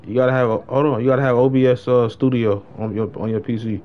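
A man's voice: mid-range voice, fairly even intonation, quick pace, dull audio. A young adult man chatting casually on a gaming livestream in slangy, informal speech with plenty of swearing. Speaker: game livestreamer.

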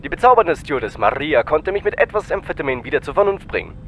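An actor playing an unhinged but blithely calm airline captain making a deadpan cabin announcement in a parody audio play. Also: male voice, on the high side, fast talker, a little hiss or hum.

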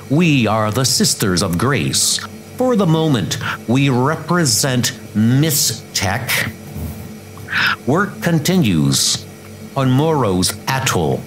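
A man voicing a cold, formal female leader of a warrior sisterhood in a comic dub, speaking commandingly. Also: medium pitch.

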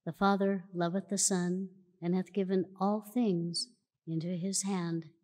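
A woman reading scripture aloud at a church lectern in a measured, solemn way, recorded in a large church auditorium. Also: lowish voice, little pitch movement, a little mellow. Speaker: church reader. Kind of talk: slow formal scripture reading. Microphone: lectern mic from a far camera. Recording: long church hall reverb.

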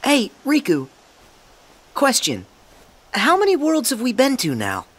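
A man's voice: extremely high pitch, wildly swooping pitch, unhurried, a bit bright, exaggerated voice. A man playing a cheerful, earnest teenage boy hero in a video game, with an eager, boyish delivery. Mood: reassuring. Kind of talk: English video game voice acting.

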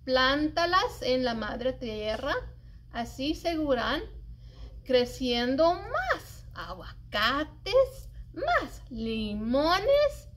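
A middle-aged woman reading a children's poem aloud in an expressive, singsong, deliberate way, as a story-time librarian. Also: sits high. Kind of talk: animated read-aloud of a children's cooking poem. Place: indoors, public library children's area.

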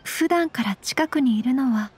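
A woman voicing a refined, shy young noblewoman in an anime game, very polite, formal and soft-spoken. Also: high-pitched, expressive, moderate pace, slight background noise. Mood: shy, anxious. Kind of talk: Japanese anime game voice acting.

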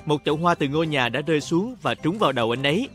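A man's voice: on the high side, animated, quick pace, fairly bright tone. A man narrating a children's cartoon in speech, talking to the characters like a storyteller for young children. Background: music.

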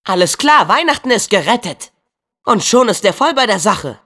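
A man's voice: sits high, very animated, normal speed, sharp tone, exaggerated voice. A young man voicing a plucky, kind-hearted teenage boy hero in a video game dub, earnest and spirited, with casual youthful phrasing. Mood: brisk.

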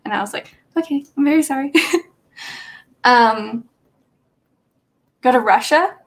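A woman's voice: on the high side, a little slow. A young woman chatting casually on a livestream from a small bedroom, rambling with lots of fillers and nervous laughs, picked up by a desk webcam. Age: young adult. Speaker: livestream host. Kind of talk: casual chat with live chat readers. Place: small bedroom with bookshelf, indoors.

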